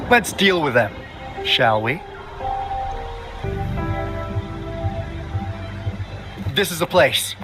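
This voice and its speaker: An actor playing a breezy, roguish young man in an anime game, playful and theatrical as he explains things. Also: medium pitch. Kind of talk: anime game dialogue acting.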